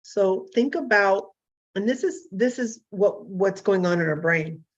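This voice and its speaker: A hoarse woman in her fifties hosting a casual solo talk show, rambling and self-deprecating with many fillers and restarts. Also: mid-range voice, expressive, measured pace, muffled recording. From California. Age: 50s. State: hoarse from illness.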